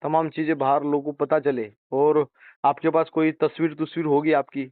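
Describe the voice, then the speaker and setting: Guy interviewing over the phone, voice on the high side, thin narrow audio, keeps prompting, sympathetic. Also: level tone, normal speed. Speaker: interviewer, activist. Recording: roomy, tinny phone-line audio.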